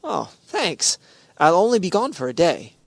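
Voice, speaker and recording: A man playing a mild-mannered husband and office worker in a classic radio comedy. His fairly high voice is polite and hesitant, with a wry note of resignation. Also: wildly swooping pitch, unhurried, vocal fry, dry recording, clean studio sound, heavily acted. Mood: wry, agreeable. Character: mild, deferential male employee and husband, wryly resigned. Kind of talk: old-time radio sitcom acting.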